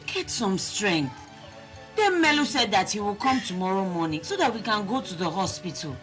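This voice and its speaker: A woman's voice: medium pitch, very animated, normal speed, fairly bright tone, soft background music. An actress playing a devoted wife caring for her sick husband, speaking in a pleading, soothing way.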